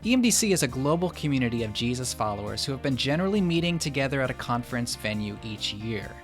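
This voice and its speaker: A man's voice: mid-range voice, sing-song, quick pace, soft background music. A man hosting a podcast, moving between prepared introduction and casual conversation with guests. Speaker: podcast host.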